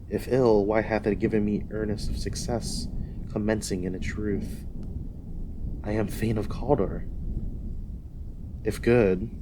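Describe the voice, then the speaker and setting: Guy playing a commanding moody warlord, deep-ish, shakespeare style speechifying, noisy background. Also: natural voice. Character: ambitious Scottish warlord, brooding and commanding. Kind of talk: dramatic Shakespeare reading. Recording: noisy storm ambience behind.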